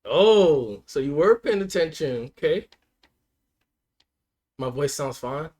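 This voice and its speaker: A man livestreaming and chatting with his viewers in a laid-back, slangy way, his voice hoarse and cracking. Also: on the high side, animated, takes it slowly, darkish tone. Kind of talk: casual chat with stream chat.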